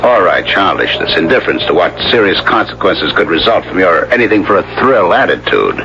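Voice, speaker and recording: A man's voice: sits low, sing-song, brisk. An actor in a 1970s radio drama playing a menacing, teasing male skier with an insinuating, mock-casual manner and pointed questions. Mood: stern warning. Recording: muffled, noisy old radio recording.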